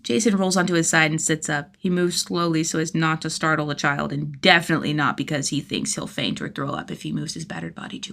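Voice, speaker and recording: A woman with a somewhat low voice reads fiction aloud. Her delivery is that of an expressive audiobook narrator working from a script.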